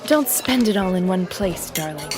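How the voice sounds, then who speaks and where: A woman's voice: on the low side, lively intonation, normal speed, a loud crowd, very noisy, lightly acted. Woman acting a commanding, sardonic deputy mayor. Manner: crisp, authoritative, sardonic. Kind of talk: audio drama acting.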